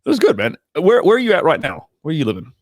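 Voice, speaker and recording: A man's voice: a little high, huge pitch swings, very fast, no echo, studio quality. A man hosting a podcast interview, upbeat and chatty, asking eager questions. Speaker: podcast host. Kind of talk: casual interview chat.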